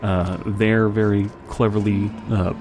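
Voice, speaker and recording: A man's voice: fairly low pitch, flat delivery, a little slow, noisy background. A man giving casual, rambling commentary as he plays a video game, with wry joking asides. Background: game music and gunfire.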